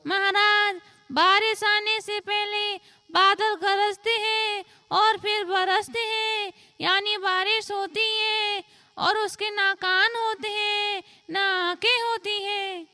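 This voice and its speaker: Very high, bright cartoon fox. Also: female voice, level tone, slow, no room sound, faint music behind, exaggerated voice. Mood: earnest, eager. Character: earnest female fox in a cartoon fable. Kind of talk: animated moral story acting.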